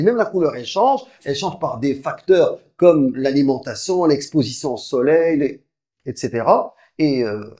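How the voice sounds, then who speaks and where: Man preaching with halting restarts. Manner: reasons step by step, recites Arabic verses quickly; frequent halting restarts, lively swings in pitch and volume. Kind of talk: religious lecture.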